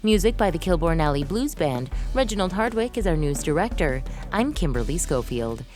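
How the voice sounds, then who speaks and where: A woman radio host reading news links and credits in a brisk, polished way. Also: sits low, very animated, a little mellow. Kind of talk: scripted news announcing. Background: faint music bed.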